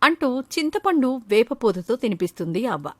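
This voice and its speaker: A woman's voice: a little high, very animated, moderate pace, dry recording, clean studio sound. A woman storyteller reading scripted narration for a children's moral story.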